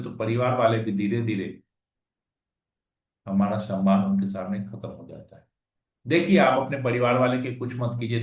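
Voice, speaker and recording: A man reading scripture verses aloud and then explaining them in plain conversational talk. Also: medium pitch. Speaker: scripture class speaker. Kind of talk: Hindi scripture reading with commentary. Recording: echoey room, far from the mic.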